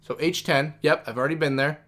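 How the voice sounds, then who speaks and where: A man's voice: fairly high pitch, steady tone, a little fast, fairly bright tone. A man in his thirties streaming a game, thinking aloud in a rambling, chatty way. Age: 30s. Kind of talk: casual livestream chat.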